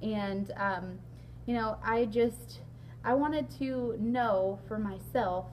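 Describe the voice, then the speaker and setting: A young woman talks casually as a vlog host, her voice hoarse and raspy after losing it. She fills pauses with ums and keeps a mid-range pitch. Light background hum sits under her. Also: a little mellow, low-quality recording. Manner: chatty, frequent ums, laughs along. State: hoarse, lost voice. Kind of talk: casual sit-down interview. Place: large indoor hall.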